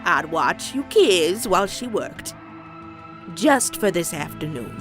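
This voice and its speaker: A woman voicing a stern, bitter old farm grandmother pony in a comic dub, with a Southern US accent and folksy, deliberate delivery. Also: on the low side, sing-song. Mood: grave, confessional. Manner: folksy, slow, deliberate storytelling. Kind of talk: dramatic character acting in comic dub. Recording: dramatic music behind.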